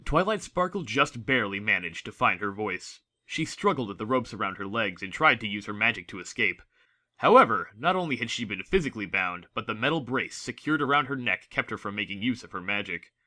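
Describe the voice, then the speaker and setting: Guy narrating an audiobook, fairly low voice, sharp and forceful, scripted dramatic read. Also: sing-song, fairly quick.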